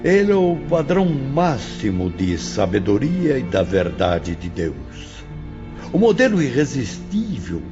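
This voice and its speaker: A man playing a solemn, patient spiritual teacher who lectures his pupils in a measured, grand manner for an audio drama. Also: medium pitch. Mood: reverent. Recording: dull lo-fi audio, faint music behind.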